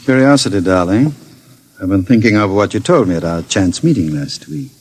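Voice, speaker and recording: An actor playing a suave, coolly polite, mocking gentleman criminal in a radio drama. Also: low-pitched, lively intonation, brisk, background noise. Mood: smooth, mocking. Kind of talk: 1950s radio acting.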